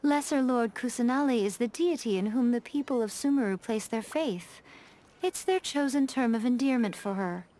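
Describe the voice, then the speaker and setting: An actress playing a sly, elegant fox shrine maiden in a video game, speaking in composed, articulate and playfully teasing phrases. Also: female voice, a little high, moderate pace, mellow, lightly acted. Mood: explanatory, poised. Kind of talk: scripted video game dialogue. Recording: game music behind the voice.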